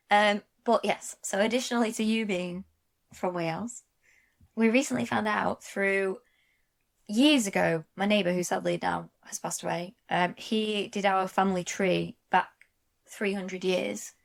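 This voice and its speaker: A woman co-hosts a casual podcast, her voice at a middle pitch with a noticeable creaky fry. She is chatty and quick to agree and jump in. She keeps the conversation moving along. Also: fairly even intonation. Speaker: podcast co-host. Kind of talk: casual chat.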